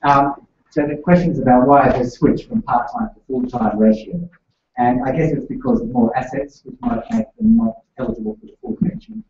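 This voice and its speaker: A man's voice: medium pitch, lively intonation, fairly quick, creaky, darkish tone. A man answering an audience question as the guest expert, thinking aloud and tentative. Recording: distant mic, echoey room, lo-fi.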